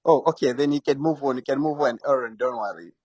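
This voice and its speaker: A man with a Malawian accent and a medium-pitched voice joins a live talk to ask questions. He is polite and casual, and builds his sentences in short, halting pieces. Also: a little mellow, breathy edge, no echo. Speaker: audience member asking questions.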